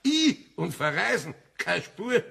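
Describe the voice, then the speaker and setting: An actor playing a flustered, sceptical wood-turner in a German radio play, blustering and muttering in a gravelly voice with a Bavarian accent. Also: male voice, sits high, big pitch swings, slow, pushed and bright, full character voice. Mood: amused. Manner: blustering, exclaiming, muttering to himself.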